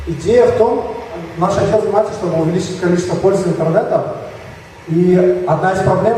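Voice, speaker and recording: A man with a Kyrgyz accent, slightly hoarse from a cold, giving a halting conference talk with slides. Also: fairly high pitch, warm tone, a little hiss or hum. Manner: halting, many fillers and restarts. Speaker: conference speaker. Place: large echoey hall. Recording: echoey hall from far off.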